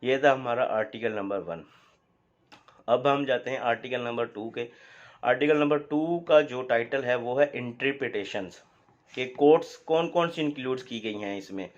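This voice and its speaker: A man with a Pakistani accent gives an explanatory law lecture, teaching didactically and often asking questions that he answers himself. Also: medium pitch. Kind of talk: explanatory lecture, mixing Urdu with English legal terms. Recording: dull, lo-fi sound.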